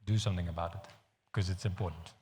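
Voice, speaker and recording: A man from the Netherlands with a Dutch accent, preaching a sermon in an earnest, halting way with frequent restarts. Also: low voice, fairly even intonation, darkish tone, a little hiss or hum. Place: church hall. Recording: long hall reverb.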